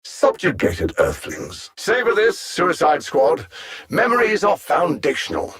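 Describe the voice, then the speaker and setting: An actor playing a condescending, coldly calculating alien supervillain in a video game, speaking with haughty, deliberate precision and theatrical mockery. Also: male voice, on the high side, huge pitch swings, a bit bright, husky, exaggerated voice. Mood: smug menace. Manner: haughty, mocking, deliberate and precise, with grand dramatic swoops.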